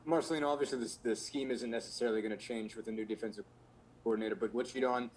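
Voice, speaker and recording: A man's voice: mid-range voice, steady tone, racing, a little mellow, a little hiss or hum, poor audio. A male sports reporter firing off a run-on question at a press conference.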